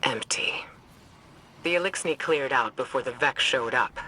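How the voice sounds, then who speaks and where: An actor playing a composed, urgent military mission commander in a video game, speaking in a crisp, tactical, commanding way. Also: male voice, mid-range voice, measured pace, bright, forceful tone, slight background noise, rough recording. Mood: focused, urgent. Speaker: mission guide.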